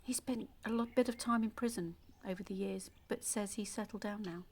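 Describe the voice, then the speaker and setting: An actress playing a damaged, bitter woman in an audio play monologue, confiding and quietly menacing, often dropping close to a whisper. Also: mid-range voice, a little fast, close to an everyday voice.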